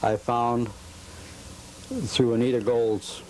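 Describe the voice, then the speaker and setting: A man answering interview questions at home about his collecting, in a relaxed and somewhat halting, rambling way. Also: medium pitch, a little hiss or hum, rough recording.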